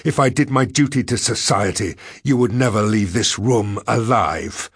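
A man's voice: fairly low pitch, moderate pace, slightly rough, dry recording, studio quality. A man playing a bitter, aggressive male academic in an audiobook, speaking with emphatic, dramatic scorn. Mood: furious. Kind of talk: audiobook character voice.

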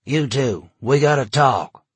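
A man's voice: medium pitch, fairly even intonation, a little slow, a bit bright, dry recording, pitched above the performer's own voice. Audiobook role: folksy, colorful police chief, played by a male narrator, dry close recording. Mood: gruff, wry.

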